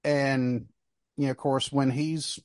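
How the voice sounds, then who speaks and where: A male podcast host with a mid-pitched voice, recorded dry and close to room-free. He talks casually and conversationally, offering opinions in a sports discussion. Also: flat delivery, slow pace, darkish tone, no room sound.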